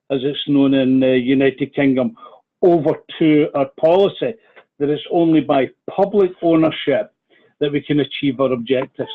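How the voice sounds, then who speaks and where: A man with a Scottish accent, a union official giving a measured briefing on a conference call. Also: mid-range voice. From Scotland. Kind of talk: call presentation. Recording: tinny phone line.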